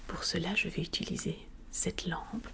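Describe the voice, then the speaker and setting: A woman speaking softly and deliberately in an ASMR medical roleplay, playing a polite doctor who guides a patient step by step. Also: lowish voice, steady tone, vocal fry. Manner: soft, deliberate, formally polite, explaining step by step. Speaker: ASMR creator playing a doctor giving an exam.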